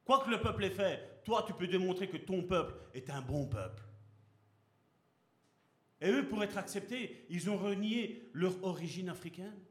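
A man's voice: high voice, expressive, moderate pace, fairly bright tone. A man preaching a sermon as pastor, speaking with passion and throwing rhetorical questions at his congregation. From Belgium. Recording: echoey hall.

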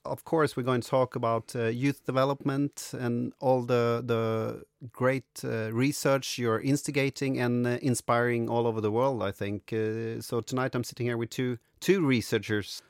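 A man's voice: mid-range voice, steady tone, moderate pace, few pauses, smooth, dry recording, studio quality. A man with a Swedish accent hosting a podcast in English, hesitant with many fillers. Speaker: podcast host. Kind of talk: casual podcast interview.

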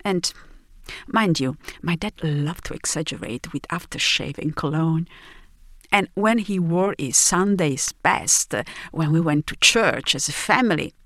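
A woman reading a literary first-person travel story, reflective and dramatic, with pauses between phrases. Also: deep voice, fairly bright tone, dry recording, studio quality. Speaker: narrator.

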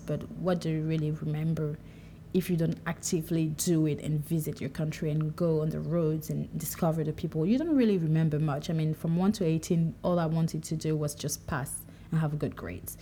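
A young woman from Cameroon speaking in a relaxed, reflective monologue, livening up when she quotes herself. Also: low-pitched, slight background noise. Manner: conversational, animated when quoting herself. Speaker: podcast speaker.

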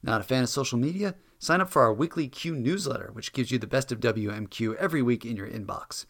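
Podcast host: man, medium-pitched voice, presenting to listeners. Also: fast talker, fairly bright tone. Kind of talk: podcast hosting, scripted sign-off.

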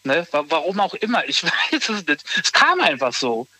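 A man in his thirties talks casually and haltingly, circling back and restarting his thoughts. His voice sits high and sounds bright and forward. The sound is dry, with no room echo. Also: sing-song. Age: 30s. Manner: hesitant, restarts often, lots of fillers. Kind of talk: casual conversation.

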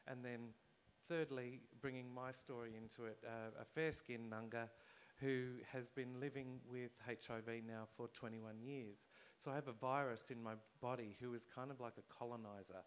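A man from Australia, a theatre artist speaking on a panel in a reflective, measured way with pauses. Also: medium pitch, steady tone, smooth. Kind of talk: panel discussion.

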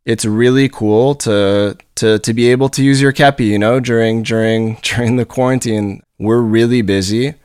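A man's voice: medium pitch, fairly even intonation, normal speed, hardly any pauses, a little mellow, clear, resonant tone, no echo, clean studio sound. A man from Montreal with a nasal voice, talking on his own in an upbeat, rambling way as a podcast host, often repeating words as he restarts. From Montreal, Canada. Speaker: podcast host and company leader. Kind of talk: solo podcast monologue. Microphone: studio podcast microphone.

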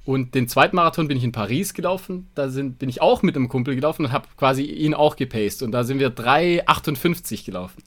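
A man's voice: mid-range voice, quick pace, hardly any pauses. A man co-hosting a podcast in casual chat, often hesitating and rephrasing mid-sentence. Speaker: podcast co-host and hobby runner.

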